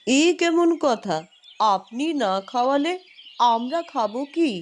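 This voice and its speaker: A woman as a naive, high-voiced younger sister. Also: animated, a little slow. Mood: indignant. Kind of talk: Bengali fairy-tale cartoon voice acting.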